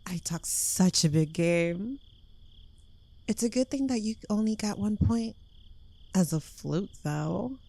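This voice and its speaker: Woman acting a slow, smug yandere witch girlfriend. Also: mid-range voice, expressive, gravelly, warm tone, no echo, heavily acted. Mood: smug, clingy. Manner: teasing, smug, drawling, dropping into whispers. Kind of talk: intimate ASMR roleplay addressed to the listener.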